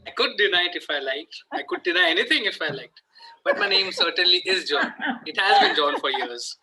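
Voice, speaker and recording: A man acting an earnest, flustered Victorian gentleman in an amateur table read, formal and slightly halting, with a husky, forceful voice. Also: high voice, huge pitch swings, crowd noise, full character voice, low-quality recording. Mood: sheepish, defiant.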